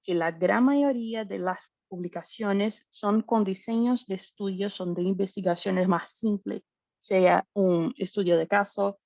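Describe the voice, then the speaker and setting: Woman, gravelly edge, hesitant webinar panelist, muffled dry call audio. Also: medium pitch, fairly even intonation, measured pace, darkish tone, no room sound. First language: not Spanish. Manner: hesitant, searching for words. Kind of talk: webinar talk. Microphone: video call.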